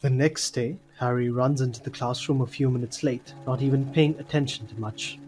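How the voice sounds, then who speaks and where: A man's voice: medium pitch, fairly even intonation, moderate pace, a little mellow, a little hiss or hum. A man reading scripted narration for an audio drama.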